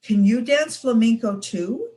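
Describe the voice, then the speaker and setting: A woman voicing an eager, stubborn little girl in a read-aloud storybook, high-pitched and pleading. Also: a little slow, dark tone, distant mic, close to an everyday voice.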